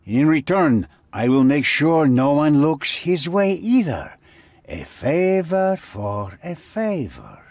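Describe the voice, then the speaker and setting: An actor playing a menacing, haughty middle-aged crime boss with a husky voice, speaking formally and deliberately in a dramatic video game style. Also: male voice, a little high, big pitch swings, a little mellow, no echo, exaggerated voice. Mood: calculating, smooth.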